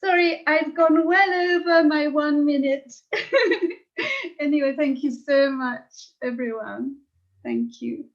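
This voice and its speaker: British woman, high voice, dull lo-fi sound, emotional and laughing, judge's comments. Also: takes it slowly. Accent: standard British English. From Britain. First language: English. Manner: warm, emotional, laughs often, rambling. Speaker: contest judge.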